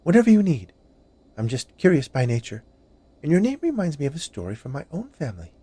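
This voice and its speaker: Guy putting on an ancient lady witch for a storybook, high pitched, gushy and theatrical, very polite but vengeful. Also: wildly swooping pitch, brisk, warm tone. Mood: chatty, fanciful. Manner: gushing, theatrical, overly polite. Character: large, century-old witch confectioner, chatty turning vengeful. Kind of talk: dramatized short story reading.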